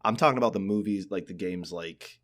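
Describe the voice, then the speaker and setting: A man's voice: low-pitched, brisk, a bit bright. A man co-hosting a podcast, chatting informally and giving his opinions on games. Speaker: podcast co-host. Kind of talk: casual podcast chat about games.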